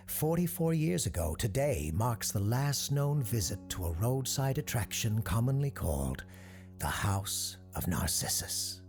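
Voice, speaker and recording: An actor playing a composed, earnest parapsychologist hosting an eerie radio show, speaking in a measured, formal announcer style. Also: male voice, mid-range voice, vocal fry, darkish tone. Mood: ominous, storytelling. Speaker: host of a paranormal call-in radio show. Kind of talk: scripted radio-show narration.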